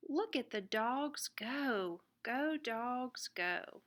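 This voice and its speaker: A woman reading a children's picture book aloud in an expressive, deliberate way. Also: fairly high pitch. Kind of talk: read-aloud children's storybook narration.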